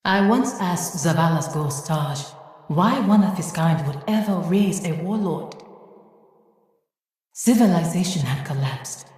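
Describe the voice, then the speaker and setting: A woman voicing a wise, reflective older guardian in a video game, with a measured, wistful and earnest manner. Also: lowish voice, animated, darkish tone. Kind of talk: dramatic video game monologue. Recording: very echoey, long reverb tail.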